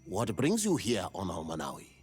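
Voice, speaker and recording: A grave village chief in a game, raspy husky voice, pitch moves around a lot. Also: male voice, wildly swooping pitch, normal speed, darkish tone, soft background music, full character voice. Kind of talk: video game cutscene acting.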